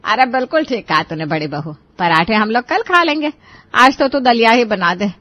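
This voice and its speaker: A woman's voice: fairly high pitch, lively intonation, normal speed, pushed and bright, no echo, lo-fi sound. A woman voicing an older, fond, commanding mother-in-law in a family cartoon, approving and instructing. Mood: approving, fussing. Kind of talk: Hindi family cartoon voice acting.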